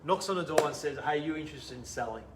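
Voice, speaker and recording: A man in his thirties with an Australian accent, the guest in a casual interview, telling his story animatedly, laughing often and trailing off quietly. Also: a little high, quick pace, reverberant, a little hiss or hum. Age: 30s.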